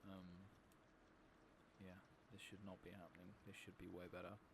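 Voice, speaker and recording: Casting: soft-spoken male gaming host, deep and crackly, ASMR playthrough commentary with muttered asides. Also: little pitch movement, normal speed. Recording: loud constant background noise and clicking.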